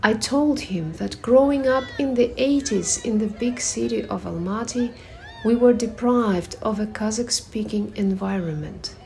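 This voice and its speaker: A woman reading a personal essay aloud at a public reading, in a measured, reflective way with pauses between phrases. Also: a little high, creaky, dark tone, a little hiss or hum, poor audio. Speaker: reader at a performative reading.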